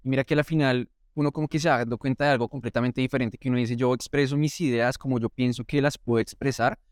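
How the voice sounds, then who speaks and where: A man's voice: mid-range voice, steady tone, fast talker, no room sound, clean studio sound. A man interviewing a guest, asking questions and agreeing often in short replies. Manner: agreeable, brief backchannel replies. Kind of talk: casual interview.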